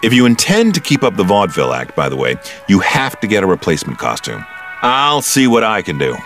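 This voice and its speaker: An actor playing a smug, condescending criminal mastermind in an audio drama, with a suave, theatrical delivery that stretches out words. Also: male voice, fairly low pitch, huge pitch swings, fairly quick, a bit bright, soft background music. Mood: smug, taunting.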